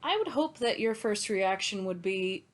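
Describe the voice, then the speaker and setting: A woman's voice: mid-range voice, lively intonation, moderate pace. A woman in a casual book discussion, playfully laying out scenes as she makes her point. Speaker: podcast co-host.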